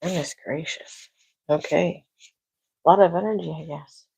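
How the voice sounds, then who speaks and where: Soft-spoken woman with a gravelly low voice. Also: normal speed, no echo, rough recording. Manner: talks to herself, quiet and musing. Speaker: tarot reader. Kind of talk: casual solo tarot livestream. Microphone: clip-on microphone.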